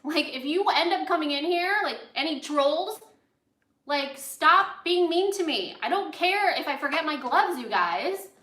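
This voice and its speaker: A woman's voice: high-pitched, animated, unhurried, pushed and bright. An American woman chatting casually on a cooking livestream, rambling and drifting into asides as she works. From United States, grew up in Los Angeles. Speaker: host of a cooking livestream, chef. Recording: roomy, echoey indoor sound.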